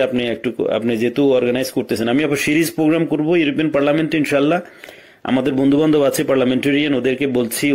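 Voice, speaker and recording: A man with a Bangladeshi accent speaking deferentially on a recorded phone call, often repeating himself and halting as he searches for words. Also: medium pitch, darkish tone. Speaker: political party organiser. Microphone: telephone.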